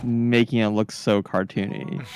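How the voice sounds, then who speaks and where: Casting: male game streamer, gravelly edge on a clear voice, casual, opinionated rambling delivery. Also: fairly low pitch, level tone, a little slow, darkish tone. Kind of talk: casual chat over gameplay.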